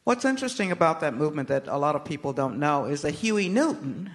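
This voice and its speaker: An older American woman answering interview questions as a guest, speaking in a measured, emphatic, oratorical way. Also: low voice, big pitch swings. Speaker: interview guest, political activist. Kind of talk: live on-stage interview answers.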